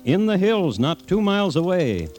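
Deliberate radio crime narrator over music. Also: male voice, high-pitched, sing-song, a little mellow. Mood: grave, wry. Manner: deliberate, literary, storytelling. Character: measured male crime-chronicle host, wry and grave. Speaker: host and narrator. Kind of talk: scripted 1950s radio drama narration.

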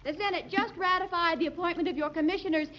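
A woman's voice: very high voice, steady tone, quick pace, noisy background. An actress in a 1940s radio drama playing a chatty, persistent, cheerfully nosy woman with a sprightly, teasing manner. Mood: bright, chatty. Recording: dull old radio recording.

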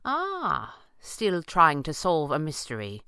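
A man's voice: on the high side, big pitch swings, measured pace, bright, forceful tone, clear, resonant tone, no echo, clean studio sound, full character voice. A man voicing a greedy, cruel villain in a pulp adventure audiobook, speaking with menacing mock courtesy. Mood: amused. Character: oily, greedy male villain, smooth-talking, cruel mercenary.

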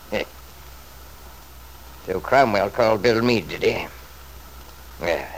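Low-voiced, blustering stubborn father. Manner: blustering, emphatic, self-justifying. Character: stubborn, selfish, hard-hearted middle-aged father, indignant. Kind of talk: old-time radio soap opera acting. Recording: noisy vintage radio drama recording.

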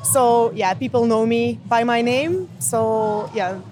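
A woman's voice: on the high side, takes it slowly, darkish tone. A woman from Belgium, a roller derby skater telling a story in a casual interview and acting out her own reactions. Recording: background noise.

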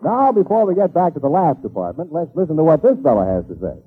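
A man's voice: on the high side, very animated, quick pace, slightly rough. A man announcing a scripted promo in the polished style of 1940s radio. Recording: thin, phone-like old radio broadcast.

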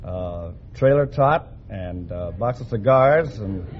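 A man's voice: on the low side, animated, slow, a crowd in the background. A young American man answering a host's questions as a quiz show contestant. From San Francisco, United States. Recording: noisy muffled old radio broadcast.

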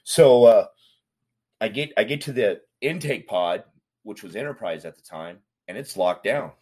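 A man recounting his past in casual street slang, animated and talkative. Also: lowish voice, normal speed, husky. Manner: street slang, animated retelling with acted quotes. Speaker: guest.

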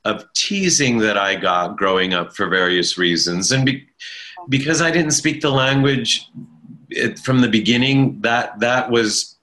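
A man being interviewed, recalling his past in a thoughtful, halting way with frequent restarts and a self-deprecating humor. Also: medium pitch, animated, sharp tone, low-quality recording. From Italian-speaking family in America. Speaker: interview guest, stage performer. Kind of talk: reflective conversational storytelling.

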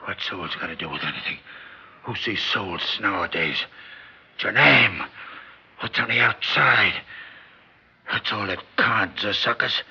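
A gravelly dying gangster. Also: male voice, fairly low pitch, measured pace, pauses often, heavily acted. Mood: scheming. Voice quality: gravelly, tough street slang. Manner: threatening, mocking, swings from whisper to shout. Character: dying old gangster, bedridden, menacing and scheming. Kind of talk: 1950s radio drama acting. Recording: dull old radio broadcast recording.

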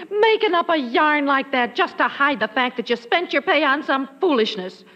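Bright, high-voiced sceptical wife. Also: female voice, lively intonation, normal speed. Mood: scolding. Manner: sarcastic, challenging. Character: sceptical, sharp-witted, scolding housewife and mother. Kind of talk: 1940s radio comedy acting. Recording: reverberant, dull old radio recording.

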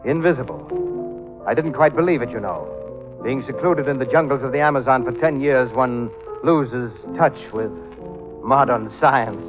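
Tinny, narrow old radio sound with music behind it. A man plays a cultured, gloating murderer with a mid-pitched voice. He is affable and mannered, and his politeness mocks and threatens as in a classic radio thriller. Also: animated, measured pace, natural voice. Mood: mocking affability. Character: suave, sinister male killer, cultured and gloating. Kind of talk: old-time radio drama acting.

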